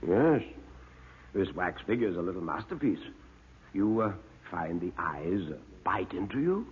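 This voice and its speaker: A man's voice: low voice, big pitch swings, slightly rough, heavily acted. A man voicing a chatty museum manager with macabre relish and hesitant ums, in classic radio horror style. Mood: amused, ominous. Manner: hesitant ums, drawn-out relish, chuckling asides. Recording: muffled narrow-band old radio recording.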